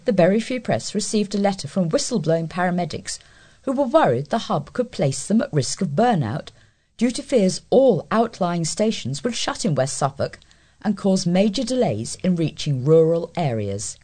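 A woman's voice: fairly low pitch, warm tone, husky. A woman with a British accent reading scripted local news as a volunteer newsreader.

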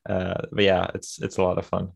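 A young man from Sweden with a light, Americanized Swedish accent, chatting casually as a podcast guest and pausing on many fillers. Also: low voice, completely flat delivery, gravelly, husky. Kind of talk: casual chat.

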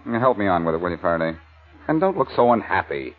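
Cocky, animated wisecracking sleuth. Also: male voice, sits low, fast talker. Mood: smug, needling. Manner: glib, teasing, smart-alecky. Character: Boston Blackie, cocky wisecracking male amateur sleuth. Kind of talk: 1940s radio crime drama acting. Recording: tinny, narrow-band old radio recording.

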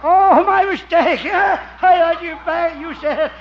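An actor playing a slow, folksy, oblivious old man in a 1950s radio comedy, with a thin voice and a drawling, chortling manner. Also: very high-pitched, heavily acted. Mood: oblivious, amiable. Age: older. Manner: drawling, chortling at his own jokes. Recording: muffled, noisy old radio recording.

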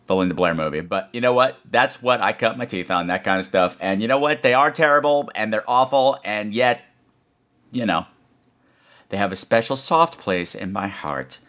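A man chats casually as the host of a horror podcast. His voice is bright and forceful, with very lively pitch. He is campy and exclamatory, and swears freely. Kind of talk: casual chat responding to listener voicemails.

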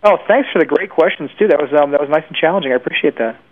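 A man's voice: fairly high pitch, expressive, racing. A man speaking as a guest expert in an interview, giving conversational advice with examples over a phone line. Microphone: telephone. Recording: tinny phone line on radio.

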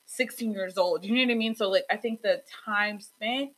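A woman's voice: mid-range voice, moderate pace, a bit bright, low-quality recording. A woman in her twenties co-hosting a gossip podcast, blunt and crude, joking as she gives her take. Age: 20s. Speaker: podcast co-host. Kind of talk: casual gossip chat.

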